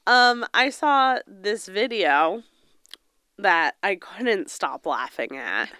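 A woman's voice: medium pitch, takes it slowly, smooth, dry recording, clean studio sound. A young woman with a slightly stuffy nose from a cold, co-hosting a chatty podcast and telling stories with lots of giggles. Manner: giggly, animated storyteller. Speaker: podcast co-host. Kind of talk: casual chat.

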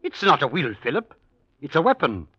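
A man's voice: a little high, sing-song, brisk, bright, forceful tone, slightly rough, no echo, heavily acted. An actor playing a principled, earnest, protesting male family lawyer in 1940s radio drama. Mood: appalled. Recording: dull vintage radio recording.

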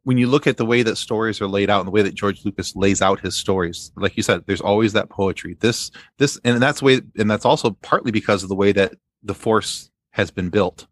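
A man speaking as a podcast guest in a casual fan discussion, explaining things in a halting, rambling way. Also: lowish voice, no room sound.

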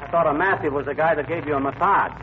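A man's voice: fairly high pitch, fast talker, narrow, tinny audio, lightly acted. Man acting a blustery folksy husband, noisy old radio. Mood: indignant blustering. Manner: folksy, ungrammatical, wisecracking. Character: blustery, wisecracking, folksy middle-aged husband, scheming and stubborn. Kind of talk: 1940s radio comedy acting.

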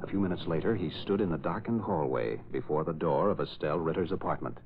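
A man's voice: a bass voice, fast, noisy background. A man reading dramatic scripted narration in the manner of a 1940s radio serial. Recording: muffled old radio recording. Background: loud music.

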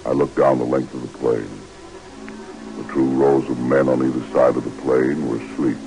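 An actor playing a gruff, terse airborne officer in a vintage radio drama, with a gravelly voice. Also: male voice, a bass voice, little pitch movement, moderate pace, over music. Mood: grave, tense. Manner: terse, commanding. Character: gruff male airborne officer narrating a night parachute jump. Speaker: narrator and officer. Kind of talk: 1940s-50s radio drama acting. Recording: noisy old radio broadcast with engine drone.

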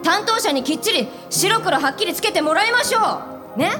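A woman's voice: very high-pitched, animated, a little fast, over music. A woman acting a fiery, protective senior businesswoman in a live radio drama, with an emphatic, commanding delivery. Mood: firm, brisk.

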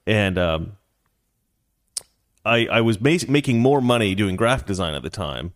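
Rambling, joking low-voiced male host. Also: lively intonation, normal speed, no echo, studio quality. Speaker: show host. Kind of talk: casual political commentary.

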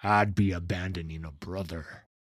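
A man voicing a stern, bitter mage hunter from a fantasy story, rough-voiced, sneering and accusatory. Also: lowish voice, animated, slow, no echo, studio quality, exaggerated voice. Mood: grim, restrained. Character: stern, bitter male mage hunter, grieving and menacing interrogator.

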